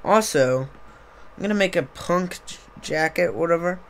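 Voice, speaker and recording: Rambling young man, slightly hoarse. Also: fairly high pitch, normal speed, a bit bright. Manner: rambling, meandering, many fillers. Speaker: streamer. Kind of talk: casual live-stream chat. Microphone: desktop USB mic on a boom arm.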